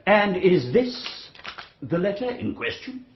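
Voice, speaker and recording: Swooping, sneering English prosecuting barrister. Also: male voice, high-pitched, very animated, exaggerated voice. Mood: sardonic. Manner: pompous, incredulous, deliberate courtroom delivery. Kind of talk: radio drama acting. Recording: slightly dull, echoing courtroom-like room sound.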